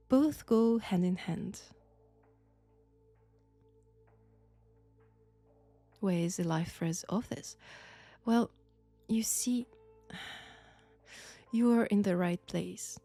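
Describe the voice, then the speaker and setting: Audio roleplay: actress as mysterious female professor of the occult, French accent, deliberate and foreboding, close dry sound over faint music. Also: medium pitch, vocal fry, dry recording. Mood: eerie, composed. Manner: slow, deliberate, hushed, ominous, with whispered phrases. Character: enigmatic female occult professor, possessed vessel of an ancient entity. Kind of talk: audio roleplay acting addressed to the listener.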